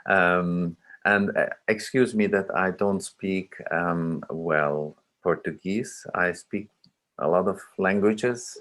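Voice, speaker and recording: A man in his sixties, originally from Iraq, speaking as a film director at a remote panel, slow and halting with many fillers and restarts. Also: low voice, fairly bright tone.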